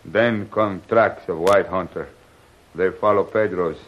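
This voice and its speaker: An actor playing a simple male hunter who speaks in halting broken English, in a dull old radio recording. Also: low-pitched. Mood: puzzled.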